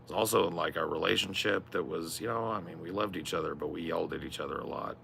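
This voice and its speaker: A young man's voice, deep and heavily gravelly from straining his vocal cords, with a bright, forceful edge. He rambles casually and swears freely. Faint noise sits underneath. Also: fairly even intonation, fast. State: strained, raspy voice. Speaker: streamer. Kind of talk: casual chat.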